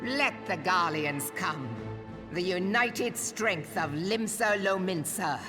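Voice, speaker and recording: A woman's voice: lowish voice, expressive, slow, over music. A woman acting as a brash, defiant pirate leader with a salty, swaggering delivery in a dramatic video game cutscene.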